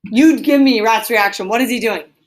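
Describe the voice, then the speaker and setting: A woman's voice: a little high, normal speed, a crowd in the background. A woman reading a storybook aloud as a teacher to children, explaining as she goes and prompting with questions.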